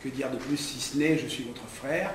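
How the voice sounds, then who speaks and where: A middle-aged man from Congo giving a speech at a press conference, moving from measured statements to fervent, rousing oratory. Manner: rousing, rising to fervent preaching pitch when speaking to the crowd. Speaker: political candidate at a press conference. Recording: echoey hall from far off, some noise.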